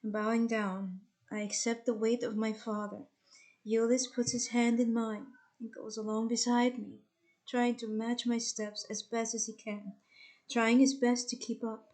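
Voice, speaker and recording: Female amateur reader, higher-pitched voice, expressive first-person verse narration with heartfelt delivery. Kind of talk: dramatic first-person poetry reading.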